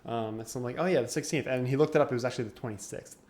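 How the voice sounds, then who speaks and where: A man's voice: mid-range voice, racing, darkish tone. Laid-back young man telling stories. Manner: laid-back storyteller, laughs often. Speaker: podcast co-host. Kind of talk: casual gaming podcast chat.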